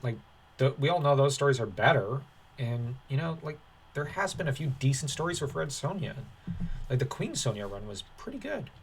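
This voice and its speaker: A man's voice: mid-range voice, very animated, normal speed, slight background noise, low-quality recording. A man reading an article aloud as a commentator, breaking off into dismissive, sardonic, off-the-cuff asides. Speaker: online commentator.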